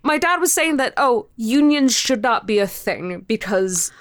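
A woman's voice: a little high, lively intonation, measured pace, no room sound. A young woman chatting casually as a podcast guest, emphatic and openly opinionated, with informal, sweary phrasing. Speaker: podcast guest, indie audio drama creator. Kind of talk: casual interview conversation.

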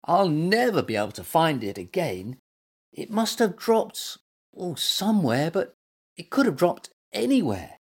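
A woman's voice: medium pitch, sing-song, a little slow, darkish tone, breathy edge, voice pushed up, heavily acted. Woman voicing a dramatic, boastful little monkey. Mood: glum.

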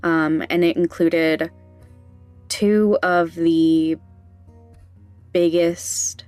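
A woman with a fairly low voice talks at a very slow pace, as a podcast host speaking off the cuff. She is candid but halting and a little self-conscious. The sound is dry and close, with music behind her. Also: fairly even intonation, darkish tone, clean tone, no room sound. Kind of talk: candid, casual podcast talk.

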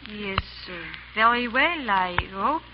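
A woman's voice: mid-range voice, lively intonation, unhurried, noisy background, natural voice. An actress playing a defiant, anxious woman cornered by a blackmailer in 1930s radio drama, theatrical and emotional. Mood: uneasy. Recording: dull 1930s radio recording.

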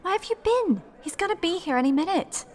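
A woman's voice: very high voice, lively intonation, brisk, a faint crowd. A woman playing a fussy, theatrical female friend in a radio play.

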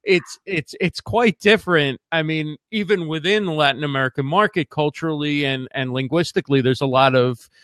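A man hosting a livestream interview, relaxed and reading out viewer questions with many fillers. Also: on the high side, brisk, hardly any pauses, no room sound, clean studio sound. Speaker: host.

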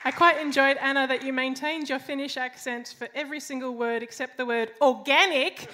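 A woman hosting a live science comedy debate on a bar stage, quick and jokey, giggling between lines into a stage microphone. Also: high voice, fairly even intonation, pushed and bright, slight background noise.

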